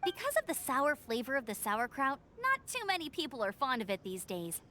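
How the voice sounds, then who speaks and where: An actress voicing a cheerful, energetic young female scout who talks in an upbeat, easygoing way, in video game dialogue. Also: high-pitched, brisk, a little hiss or hum, full character voice.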